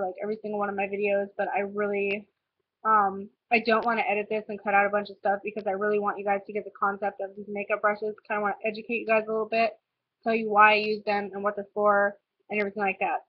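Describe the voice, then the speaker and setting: A woman's voice: medium pitch, little pitch movement, fast, slight background noise. A young woman with a stuffy, nasal cold voice, chatting casually through a makeup tutorial and rambling with lots of "um" and "like". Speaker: beauty vlogger. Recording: slightly dull lo-fi home recording.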